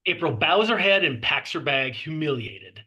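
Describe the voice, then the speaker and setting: Bright, higher-pitched man narrating a screenplay. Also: big pitch swings, normal speed, lo-fi sound. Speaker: narrator reading stage directions. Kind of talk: scripted narration at a table read.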